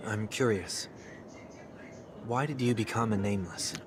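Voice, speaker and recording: An actor playing a composed, formal young man who speaks softly and deliberately in a game. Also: lowish voice, natural voice. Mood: probing. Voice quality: soft-spoken, formal, measured. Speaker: game character. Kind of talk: game voice acting. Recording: game audio with music underneath.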